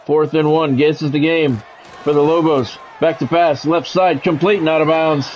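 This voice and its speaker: A man's voice: fairly high pitch, fairly even intonation, normal speed, darkish tone, a faint crowd, slight background noise. A man doing play-by-play of his own football video game in short, blunt phrases, mixed with casual gamer talk.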